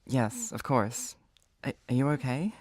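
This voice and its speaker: An actor playing a caring, supportive friend in an audio drama, gentle, concerned and a little hesitant. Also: mid-range voice, sing-song, measured pace, no room sound, studio quality.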